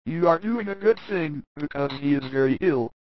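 A male narrator voicing humble villagers and a servant, earnest and softly pleading. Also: a little high, animated, moderate pace, no room sound, pitched above the performer's own voice, natural voice. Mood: anxious. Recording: dull lo-fi recording.

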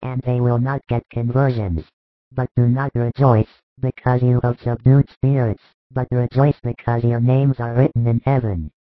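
A man voicing a calm, authoritative male teacher who speaks in a solemn, measured, firm way. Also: medium pitch, no echo, lightly acted. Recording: muffled dry recording.

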